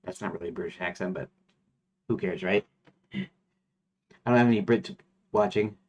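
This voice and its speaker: A man chatting casually while streaming his game development, rambling with lots of fillers and restarts, sometimes reading lists aloud in a flat mutter. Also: deep voice, normal speed, a little hiss or hum.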